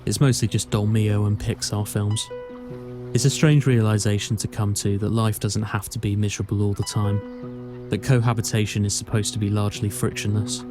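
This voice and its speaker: Young male student, played by an actor, low monotone, wry and introspective film narration, music underneath. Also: moderate pace, lightly acted. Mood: wry, reflective. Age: young adult. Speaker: actor narrating as the lead character. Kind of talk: reflective scripted film voice-over.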